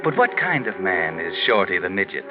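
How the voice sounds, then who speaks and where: An actor playing a sardonic, knowing narrator, posing insinuating questions in the style of a 1940s radio mystery. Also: male voice, deep voice, sing-song, a little fast, over music. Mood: sly, ominous. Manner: sly, insinuating rhetorical questions. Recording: muffled 1940s radio recording.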